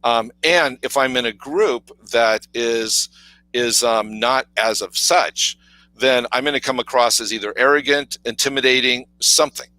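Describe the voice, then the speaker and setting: A man co-hosting a podcast with a deep, authoritative voice, talking casually and acting out stories. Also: unhurried, bright, forceful tone, no room sound, rough recording. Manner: storytelling, acts out quoted voices, many fillers. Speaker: podcast co-host. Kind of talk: casual conversational coaching talk.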